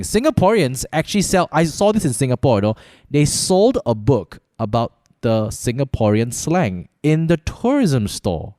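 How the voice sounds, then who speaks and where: Male podcast host, Malaysian accent, mid-pitched, rambling conversational delivery with stammered repeats, close dry studio mic. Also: darkish tone, dry recording. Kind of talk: casual chat, interviewing. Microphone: close podcast microphone.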